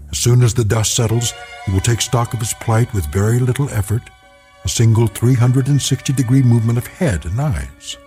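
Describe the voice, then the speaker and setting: An actor as a solemn, ominous narrator giving measured, portentous scripted narration for an eerie sci-fi radio drama. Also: male voice, on the low side, warm tone, lightly acted.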